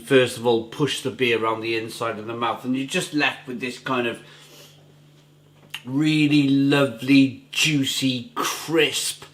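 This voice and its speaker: A man hosting a beer review channel, talking casually to camera with a gruff, rough throat, enthusiastic and chatty, often repeating himself as he tastes. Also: mid-range voice, fairly bright tone. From England.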